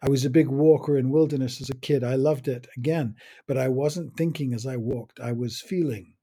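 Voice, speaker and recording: An older man muses over his memories in a measured, unhurried monologue. His voice is mellow and a little husky, and he lets short phrases fall apart with long pauses between them. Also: mid-range voice. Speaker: podcast host. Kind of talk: reflective personal monologue.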